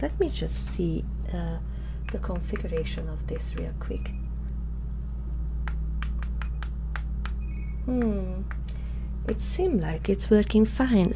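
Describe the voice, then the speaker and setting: A woman voicing a curious, eager and polite alien scientist in an ASMR roleplay, speaking softly, formally and a little hesitantly. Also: lowish voice, vocal fry, noisy background, heavily acted. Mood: helpful, slightly puzzled. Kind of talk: ASMR roleplay addressed to the listener.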